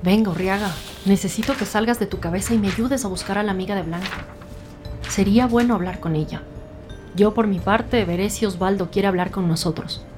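A woman's voice: mid-range voice, fairly quick, darkish tone, soft background music, lightly acted. A woman playing a composed, businesslike senior detective in an audio drama. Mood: brisk, analytical. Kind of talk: dramatic podcast dialogue.

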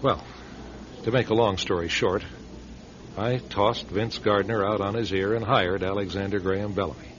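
A man's voice: on the low side, steady tone, normal speed, fairly bright tone, lightly acted. A man playing a wry, sardonic middle-aged radio station manager in a conversational radio drama style. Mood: wry reminiscing. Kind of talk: radio drama narration and dialogue. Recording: dull, hissy old radio recording.

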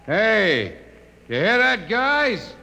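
A man's voice: sits high, big pitch swings, drawn-out words, vocal fry, sharp tone, full character voice. A man playing a brash, boisterous crewman who mocks and gloats in an old radio drama. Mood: boisterous, gloating. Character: brash, boisterous, mocking spaceship crewman out to celebrate. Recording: reverberant vintage radio recording, faint noise.

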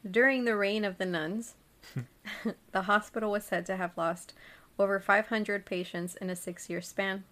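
A woman's voice: medium pitch, fairly even intonation, unhurried, pushed and bright. Giggly woman telling a story. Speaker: podcast co-host. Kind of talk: casual storytelling chat.

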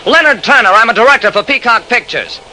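A man playing an indignant, belligerent film director in a vintage radio mystery. His curt speech is pitched high and forcefully bright, on a faintly noisy recording. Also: expressive, fast talker, full character voice. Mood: irritated. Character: irritated, belligerent male film director cornered as suspect. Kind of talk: old-time radio drama acting.

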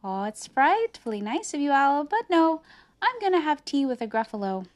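A woman's voice: sits high, big pitch swings, normal speed, pitched above the performer's own voice. A woman voicing a small, clever, brave storybook mouse, polite and boastful in a prim, exclamatory way. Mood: polite, breezy. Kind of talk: children's storybook character voice.

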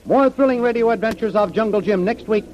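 A man's voice: sits high, quick pace, darkish tone, slight background noise. A male announcer reading a scripted narration and promotion in old-time radio style. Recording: dull old radio recording. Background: music.

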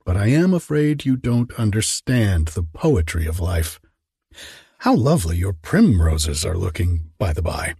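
A man's voice: deep voice, big pitch swings, a little slow, warm tone, breathy edge, no echo, clean studio sound, full character voice. A man doing a smug, preachy miller. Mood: sanctimonious. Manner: sanctimonious, condescending. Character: smug selfish male miller, preachy about friendship.

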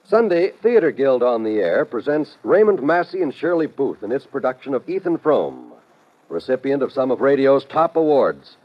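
A man reading scripted promos as a 1950s network radio announcer. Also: mid-range voice. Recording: dull old radio recording, music behind.

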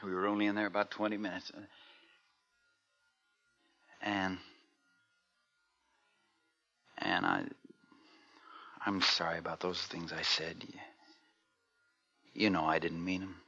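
A man's voice: low voice, moderate pace, long pauses, bright, forceful tone, faint music behind. An actor playing a hot-tempered, resentful husband and young father in a 1950s radio drama. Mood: subdued, apologetic. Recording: dull vintage radio recording.

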